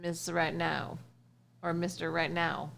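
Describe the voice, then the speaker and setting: A woman's voice: on the low side, flat delivery, takes it slowly, vocal fry, a bit bright. Woman chatting over noticeable background noise. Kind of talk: casual group discussion.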